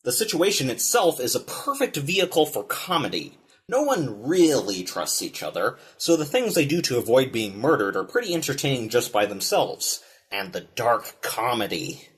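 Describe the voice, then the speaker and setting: Man narrating with very animated pitch. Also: normal speed. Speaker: film reviewer and show host. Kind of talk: scripted video essay narration.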